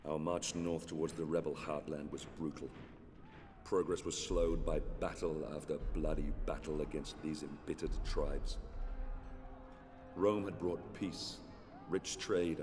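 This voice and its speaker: A grim, very deep Roman centurion. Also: male voice, fairly even intonation, a little slow, a little mellow. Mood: narrating. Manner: grim, clipped, dutiful. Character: grim, loyal male Roman centurion, hard-edged. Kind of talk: video game narration and dialogue. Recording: reverberant and noisy.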